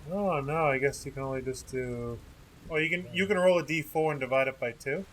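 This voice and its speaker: A man's voice: on the high side, a little fast, fairly bright tone, a little hiss or hum. A man chatting casually as a player in a tabletop role-playing game.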